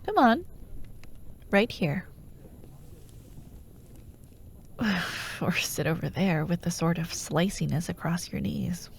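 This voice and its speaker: A woman playing a sardonic female fantasy villain who haunts dreams, in a breathy, often whispered ASMR roleplay with a wry, taunting manner. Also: fairly low pitch, expressive, slight background noise. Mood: coaxing. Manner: wry, taunting, theatrical, unhurried.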